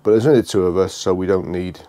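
Low, mellow-voiced British man. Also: fairly even intonation, no echo, clean studio sound. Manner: halting, repeats phrases as he goes. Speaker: vlogger giving a motorhome tour. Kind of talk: casual unscripted walkthrough narration.

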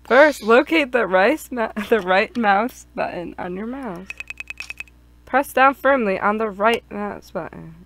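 A woman's voice: medium pitch, expressive, takes it slowly, background noise. A woman playing a visual novel with friends, reading dialogue aloud and chatting casually. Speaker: player in a group gaming stream.

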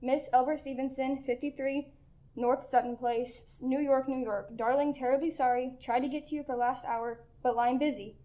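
A woman's voice: sits high, normal speed, natural voice. A girl playing a prim telegraph clerk. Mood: formal. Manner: formal, even, reading aloud. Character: polite female telegraph clerk. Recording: echoey, dull lo-fi sound.

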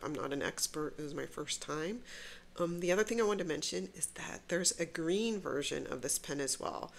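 A woman hosting her own channel, congested from a cold, chatting casually and unhurriedly with soft asides while she unboxes a pen at her desk at home. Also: sits low, vocal fry, a little hiss or hum. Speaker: YouTube channel host.

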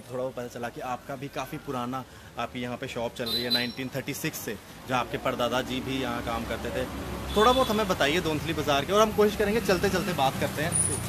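A man in his thirties hosting a walking street report in an old bazaar, earnest and full of fillers. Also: medium pitch. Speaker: reporter host. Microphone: handheld mic. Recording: noisy outdoor street.

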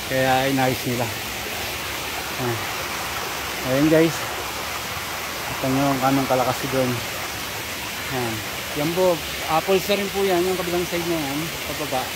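Chatty hiking vlogger from the Philippines, a man in his thirties, talking to viewers on a handheld phone by a rushing waterfall. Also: fairly high pitch. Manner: chatty, addresses viewers as guys. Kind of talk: casual hiking vlog. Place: outdoors by a forest waterfall. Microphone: phone held at arm's length. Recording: hissy outdoor phone audio over waterfall.

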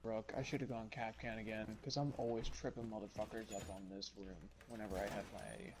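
A young man in casual, crude banter with teammates, giving quick callouts during an online match. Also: on the low side, animated. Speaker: gamer on team voice chat. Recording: noisy game-chat audio.

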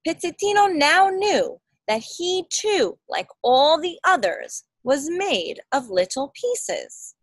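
An expressive woman reads a picture book to a young child. Her voice is fairly high and very lively in pitch, with an unhurried pace. She explains and asks simple questions as she goes. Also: no room sound. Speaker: parent reading a picture book aloud. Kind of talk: children's storybook read-aloud. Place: outdoors in a backyard. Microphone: phone or laptop at arm's length.

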